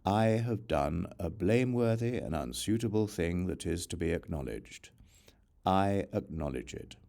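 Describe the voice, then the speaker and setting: A man reading scripture as an audiobook narrator, in a measured, formal recitation. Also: low-pitched, lively intonation, gravelly, dark tone. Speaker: audiobook reader.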